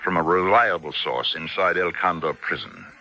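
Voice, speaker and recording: An American man acting in a radio drama as a cautious consul, speaking in a measured, formal, diplomatic way. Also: sits low, sharp tone. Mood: grave, guarded.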